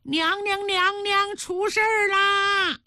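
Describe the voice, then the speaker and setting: A woman's voice: very high-pitched, fairly even intonation, slow pace, bright, forceful tone, dry recording, studio quality, pitched above the performer's own voice, full character voice. A woman storyteller voicing a frightened little child who urgently calls out that trouble has happened. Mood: panicked. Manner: urgent, stammering cries. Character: panicked little child running to report trouble.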